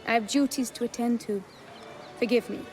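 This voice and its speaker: A woman's voice: fairly high pitch, fairly even intonation, a little fast, a little mellow, soft background music, close to an everyday voice. An actress playing a gracious, reserved noble lady in a video game, speaking in a formal, courtly way. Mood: politely aloof.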